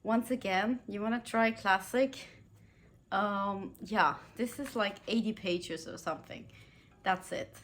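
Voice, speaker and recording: A woman's voice: medium pitch, unhurried, a bit bright. A young woman, a native German speaker, talking casually about books she read, giggling often and using lots of fillers and restarts. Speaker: book reviewer. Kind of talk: casual book review vlog.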